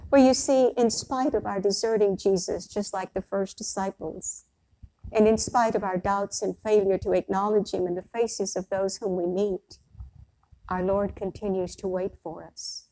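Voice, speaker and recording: An older woman, a priest, delivers a prepared sermon in measured, deliberate phrases with pauses. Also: medium pitch, steady tone, warm tone, rough recording. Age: older, around 60s. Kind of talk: sermon, read from a prepared text. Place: church sanctuary, at the pulpit.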